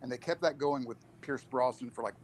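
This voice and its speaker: Male podcast guest, medium pitch, conversational delivery, faint noise behind the voice. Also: fairly quick. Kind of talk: casual chat.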